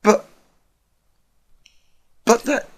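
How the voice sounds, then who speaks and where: A man voicing a worried, disbelieving young female unicorn pony, urgent and stammering, in a storybook drama. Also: very slow.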